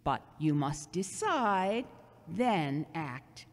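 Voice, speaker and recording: A woman playing a devout, earnest older mother in an audio drama, measured and preachy. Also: low voice, sing-song, exaggerated voice. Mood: earnest, instructive. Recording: long echoey reverb.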